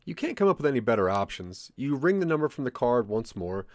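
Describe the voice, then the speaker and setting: A man's voice: medium pitch, big pitch swings, fast, no echo, studio quality. A man narrating a scripted audio drama in the second person.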